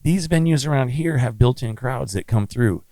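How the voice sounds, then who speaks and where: A man with a low, raspy voice talks bluntly and at length, spinning stories as a podcast guest. The sound is dry and close, with no room around it. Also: lively intonation, moderate pace, mellow, no echo. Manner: blunt, chatty storyteller. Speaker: musician guest. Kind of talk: casual podcast interview.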